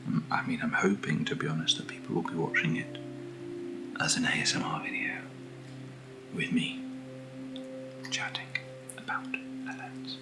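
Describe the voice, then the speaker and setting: British man, low voice, soft whispery ASMR chat, unhurried, gently rambling, music underneath. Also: expressive, low-quality recording. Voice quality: breathy, whispery. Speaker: host of ASMR unboxing videos.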